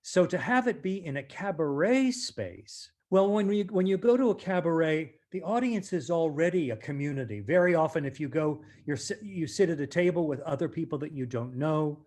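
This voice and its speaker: A man's voice: fairly high pitch, expressive, normal speed, hardly any pauses, a little mellow. A male stage actor chatting reflectively as an interview guest, articulate and emphatic.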